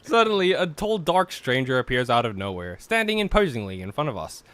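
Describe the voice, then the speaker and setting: A man's voice: medium pitch, big pitch swings, a little fast, fairly bright tone, clear, resonant tone, no room sound. A man hosting a play-along, reading game narration aloud with casual banter and stumbling over some words. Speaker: host of group play-along.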